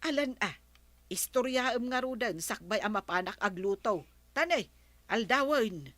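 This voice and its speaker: A curious Ilocano village friend in a radio comedy. Her voice is fairly high and bright, and she takes her time. She sounds coaxing and amused, with an Ilocano accent. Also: animated, measured pace, no room sound.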